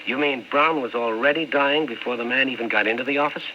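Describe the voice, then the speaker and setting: A man's voice: medium pitch, fast, a little hiss or hum, natural voice. A male actor playing a skeptical, dogged newspaperman in an old-time radio drama. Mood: probing. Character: skeptical, dogged newspaperman narrating his investigation. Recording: muffled old radio recording.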